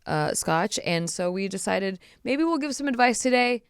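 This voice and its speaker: A woman hosting a podcast in casual chat, her voice a bit raspy from bronchitis, chatty and laughing often. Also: mid-range voice, lively intonation, normal speed, no echo, studio quality. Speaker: podcast host.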